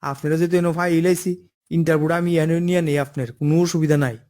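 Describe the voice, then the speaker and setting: A man's voice: a little high, fairly even intonation, rapid-fire, a little mellow, close to an everyday voice. A man voicing a firm, fair-minded forest officer in a cartoon, authoritative and matter-of-fact, with an eastern Bengali dialect. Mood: polite, official. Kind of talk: cartoon dialogue.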